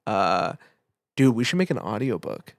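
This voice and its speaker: A man's voice: lowish voice, sing-song, moderate pace, no echo. A man co-hosting a podcast in casual chat, informal and searching for his words, speaking into a close microphone. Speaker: podcast co-host.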